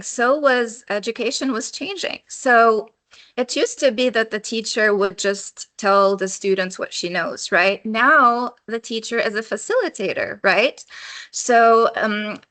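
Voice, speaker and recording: A woman from Lebanon, an educator and researcher, answering interview questions in a lively conversational way and sometimes acting out her students. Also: fairly high pitch, unhurried, no room sound. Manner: animated, acts out students' voices.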